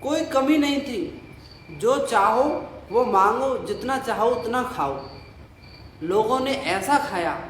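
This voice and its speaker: An actor playing an old, poor village father in an audio play, nostalgic and boastful, savouring his drawn-out memories and grumbling. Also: very high voice, expressive, a bit bright, exaggerated voice. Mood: relishing. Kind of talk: Hindi audio play dialogue. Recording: roomy echo, faint noise, night crickets.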